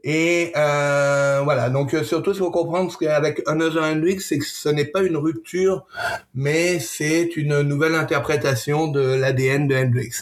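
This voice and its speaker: A man presenting a product conversationally as an interview guest, pausing often and repeating words as he goes. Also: a little high, fairly even intonation. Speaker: gin brand representative, interview guest.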